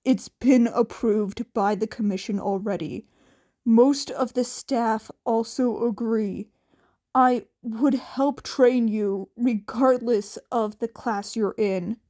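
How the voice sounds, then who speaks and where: A woman voicing a gruff, impatient, weary older male hero who speaks curtly and bluntly in an audiobook story. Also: on the high side, steady tone, takes it slowly, warm tone. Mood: earnest. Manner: curt, blunt, insistent. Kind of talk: audiobook character acting.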